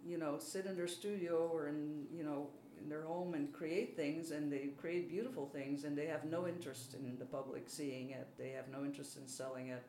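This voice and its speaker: A woman's voice: sits low, fairly even intonation, moderate pace, hardly any pauses, dark tone. A mature woman with a Belgian accent, a native speaker of French, German and Dutch, talks casually as an interviewed artist in a hesitant, modest way. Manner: self-deprecating, hesitant. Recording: roomy, faint background noise.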